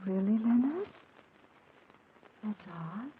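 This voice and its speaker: Dazed scared woman, high voice, super slow n drowsy, echoey old radio show. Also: animated. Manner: halting, drowsy, drawn-out. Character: frightened, dazed woman waking in another body. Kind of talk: 1940s radio mystery acting. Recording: echoey, noisy, tinny old radio recording.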